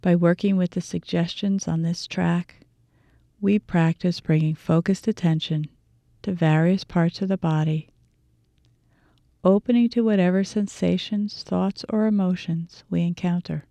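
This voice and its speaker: A woman leads a guided meditation in a soft-toned, mellow voice that sits slightly low. She phrases her instructions gently, leaving deliberate pauses, and the sound is close and dry. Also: steady tone, gravelly, dry recording. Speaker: meditation teacher.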